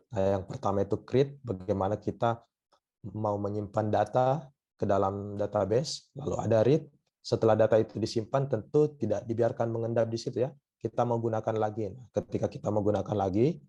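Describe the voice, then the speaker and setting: A hoarse man with a cough, giving a university lecture online and often pausing on filler sounds. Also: fairly low pitch, mellow, muffled recording. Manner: hesitant, many filler sounds.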